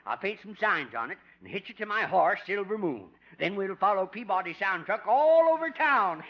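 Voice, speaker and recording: An actor playing a cheerful, boastful old country doctor in a 1950 radio comedy, chuckling and self-satisfied. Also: male voice, high-pitched, very animated, normal speed, full character voice. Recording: dull old radio recording with faint hiss.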